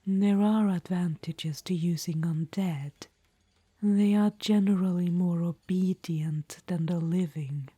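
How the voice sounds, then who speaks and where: A woman's voice: lowish voice, steady tone, slow, dark tone, husky, no echo. Woman whispering as a doting undead witch-queen. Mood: haughty. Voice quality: whispered throughout. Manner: fond, chatty, calls listener darling. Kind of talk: ASMR audio roleplay. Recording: dry close-mic whisper.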